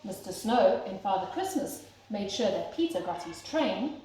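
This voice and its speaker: A woman's voice: mid-range voice, sing-song, moderate pace, mellow. A woman teacher reading a children's storybook aloud expressively, as if to young listeners. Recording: very echoey room, faint noise.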